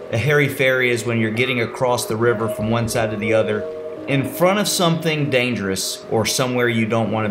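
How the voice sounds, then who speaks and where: An American man giving a casual, explanatory voice-over for a kayaking vlog, informal and instructive. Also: mid-range voice.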